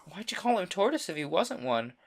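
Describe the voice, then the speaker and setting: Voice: mid-range voice, very animated, a little fast, creaky, voice pushed up. A reader voicing Alice, a polite, curious young girl, in a storybook reading.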